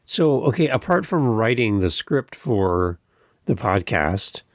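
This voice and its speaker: A man's voice: on the low side, big pitch swings, slow pace, no echo, clean studio sound. A man casually interviewing a guest as a podcast host.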